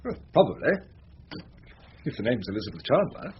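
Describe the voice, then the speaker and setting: Radio play: pompous upper-crust English barrister male actor, middle-aged flirt, highly animated delivery, slightly muffled sound. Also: close to an everyday voice. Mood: coaxing, flattering. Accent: upper-class English. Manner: oily, gushing, drawn-out flattery. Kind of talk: British radio comedy-drama acting. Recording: dull lo-fi radio recording, background noise.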